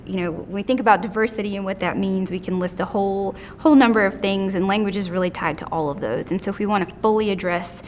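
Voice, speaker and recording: A woman's voice: medium pitch, slight background noise. A woman, an educator, speaking carefully and earnestly in an interview. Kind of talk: interview commentary.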